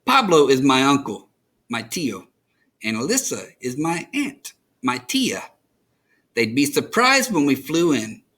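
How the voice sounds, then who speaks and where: A man reading a children's picture book aloud as an expressive storyteller, enunciating for young listeners. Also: a little high.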